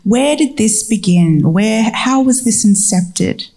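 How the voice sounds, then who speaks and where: A woman's voice: mid-range voice, expressive, slow pace, creaky, mellow. A woman moderating a live panel talk. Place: live festival talk before an audience.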